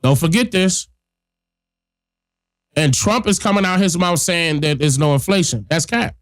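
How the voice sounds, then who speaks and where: A man's voice: fairly high pitch, measured pace, no room sound, studio quality. A man hosting a podcast, giving blunt, emphatic casual commentary straight to his listeners while getting over a cold that leaves him stuffy-nosed. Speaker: podcast host.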